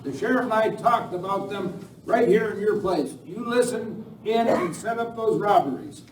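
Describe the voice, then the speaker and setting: An earnest frontier townsman acted by a man speaks in a strikingly high voice from a little way off the mic. The delivery is folksy radio-play Western, with faint noise around it. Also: normal speed, lightly acted. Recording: echoey room, distant mic, faint noise.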